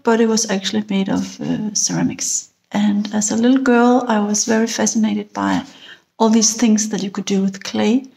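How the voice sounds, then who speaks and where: A woman, a ceramic artist answering interview questions slowly and thoughtfully from her phone, pausing with fillers. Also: medium pitch, a little mellow. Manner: slow, thoughtful, with pauses and fillers. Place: indoor room. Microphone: phone held close, selfie video.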